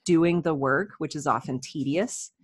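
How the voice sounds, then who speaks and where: A woman's voice: low-pitched, a little slow, breathy edge, no echo, clean studio sound. A woman speaking as a writer guest in a conversational interview, candid and self-deprecating.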